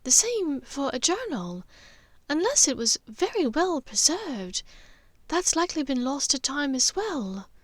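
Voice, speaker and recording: A woman voicing a refined, anxious older aristocratic witch mother in an audiobook story, breathy, careful and gently firm. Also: high-pitched, big pitch swings, unhurried, no room sound, voice pushed up. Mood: thoughtful.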